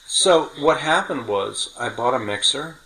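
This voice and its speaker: A man's voice: medium pitch, lively intonation, unhurried, vocal fry, bright, forceful tone, rough recording. A middle-aged man hosting a podcast, reminiscing casually in detail and correcting himself as he goes. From New York City. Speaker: podcast host.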